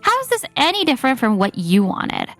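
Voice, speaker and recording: A woman voicing a calm, confident wife in a manga dub who answers her husband in a composed, quietly cutting way. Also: high-pitched, a little fast, soft background music, full character voice.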